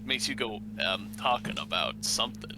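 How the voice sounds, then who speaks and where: A man's voice: fairly low pitch, expressive, moderate pace, gravelly, music in the background. A man on voice chat tossing out dry, teasing jabs in casual talk. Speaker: gaming friend on voice chat. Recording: noisy voice chat audio.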